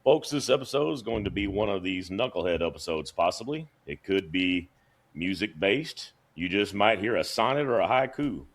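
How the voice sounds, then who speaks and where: Deep-voiced guy running a podcast, real lively, folksy and jokey. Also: moderate pace. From Kentucky. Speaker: podcast host. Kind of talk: casual chat. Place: podcast studio.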